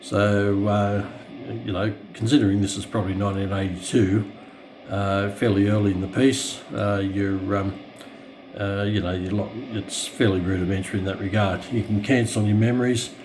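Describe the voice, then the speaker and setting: An Australian man with a fairly low voice talks casually through a hands-on demonstration. He is hesitant, pausing often as he finds his words. There is some light background noise in the room. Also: little pitch movement, a little mellow, rough recording. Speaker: radio hobbyist presenter. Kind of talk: casual unscripted product walkthrough. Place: indoors.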